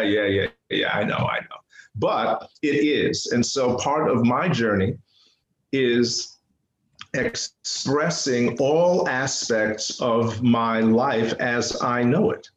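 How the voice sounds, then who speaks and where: An older man from New City, telling personal stories casually and animatedly, repeating phrases for emphasis. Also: mid-range voice. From New York City, United States. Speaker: podcast guest, artist. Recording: roomy, echoing interview sound.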